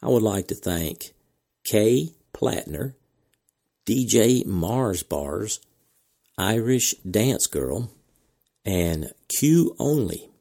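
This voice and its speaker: A man hosting a podcast, reading announcements in a measured, deliberate way with casual asides, his voice cracking from a slight cold. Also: sits low, dark tone. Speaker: podcast host.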